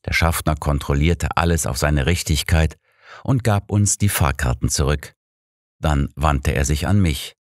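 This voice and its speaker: A man's voice: very deep voice, measured pace, no room sound, studio quality. A man reading a scripted first-person story as an audiobook narrator.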